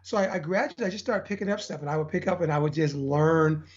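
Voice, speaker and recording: A man's voice: on the high side, fast, highs cut off. A middle-aged man from Texas, a podcast guest who rambles energetically with many restarts. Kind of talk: casual interview chat.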